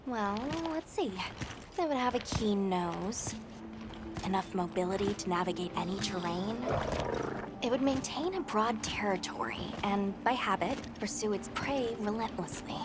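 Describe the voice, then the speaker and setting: An actress playing a composed, keen researcher who leads a monster survey in a video game, speaking in a clear, instructive, measured way. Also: female voice, mid-range voice, lightly acted. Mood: thoughtful, explaining. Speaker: expedition leader and monster researcher. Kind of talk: video game cutscene acting. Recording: loud music under the voice.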